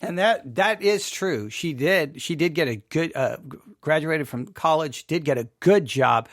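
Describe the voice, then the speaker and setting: A man's voice: fairly high pitch, lively intonation, unhurried, fairly bright tone, dry recording, studio quality. A man hosting a podcast with informal personal commentary, emphatic, often repeating and rephrasing himself. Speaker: podcast host.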